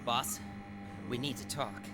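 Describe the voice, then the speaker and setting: A man's voice: medium pitch, slow, very noisy, natural voice. An actor playing a dutiful, wary gang henchman in a fan audio drama. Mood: deferential. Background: music.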